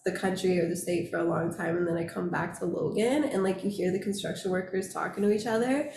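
A woman from Massachusetts chatting as an interview guest, animated and laughing easily. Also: on the low side, quick pace, a little hiss or hum. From Massachusetts, USA. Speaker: guest designer. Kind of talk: casual interview chat. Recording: echoey room.

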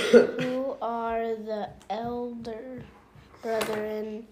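A woman slowly reading scripture aloud at home for her family, careful and halting, sometimes correcting herself. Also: a little high, little pitch movement, noisy background.

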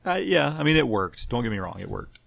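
A man's voice: mid-range voice, very animated, fairly quick, gravelly, a bit bright, no echo. A man hosting a podcast, chatting casually and conversationally as he recaps TV episodes. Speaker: podcast host. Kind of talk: casual chat about TV episodes.

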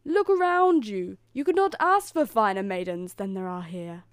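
A woman's voice: medium pitch, lively intonation, moderate pace, clean tone, no echo, lightly acted. A woman voicing a proud queen mother who chides, in a storybook reading. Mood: chiding. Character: proud queen mother, chiding her son.

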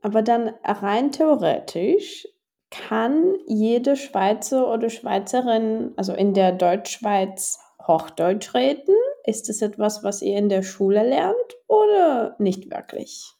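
Slow, mellow woman host. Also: fairly high pitch, expressive, vocal fry. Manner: encouraging, many listening murmurs. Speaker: podcast host, German teacher. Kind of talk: casual interview.

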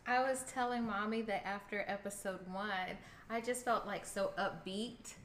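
A woman's voice: medium pitch, steady tone, moderate pace, a bit bright, slight background noise. A woman guest on a casual podcast, upbeat and giggly.

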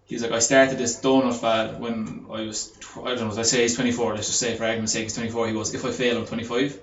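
A man in his twenties from Ireland talks casually as a podcast guest, wandering through his points with plenty of fillers and restarts. His pitch sits in a middle range. The recording is roomy and a bit dull, with faint ambience underneath. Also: level tone, fast talker, a little hiss or hum. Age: 20s. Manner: rambling, many fillers and restarts. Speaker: guest, gym owner and personal trainer.

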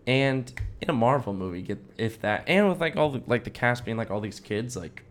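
A man's voice: mid-range voice, expressive, fairly quick, slight background noise. A young man co-hosting a podcast, chatting casually in an informal, slangy way. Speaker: podcast co-host. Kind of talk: casual chat.

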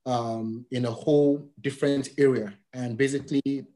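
A man hosting a casual interview, whose first language is Yoruba, talking in a relaxed, friendly way and often repeating himself with fillers. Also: mid-range voice, normal speed. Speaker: podcast host.

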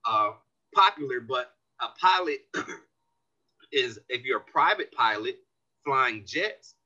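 A man, a community organization leader, explaining his views as a guest in a podcast interview, animated and earnest. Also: mid-range voice, takes it slowly, lo-fi sound.

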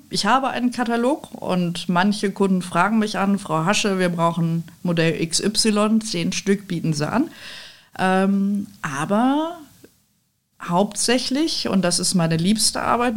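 A woman's voice: medium pitch, a little slow. A woman from the Rhineland, talking candidly as an interview guest with self-deprecating humour. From Rhineland, Germany. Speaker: podcast guest, technical sales professional. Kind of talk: conversational interview, telling anecdotes.

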